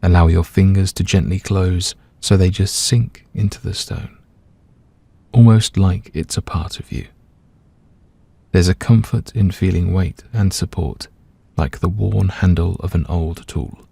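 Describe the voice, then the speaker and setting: A man gently and calmly leading a scripted guided meditation, letting his voice soften at the ends of phrases. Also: low-pitched, a little slow, gravelly, breathy edge. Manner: gentle, calm, soothing, hushed at phrase ends.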